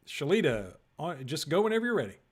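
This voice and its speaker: Man, improv host, video call, lively, laughing, fairly high voice. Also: husky. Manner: lively, laughs often, quick asides.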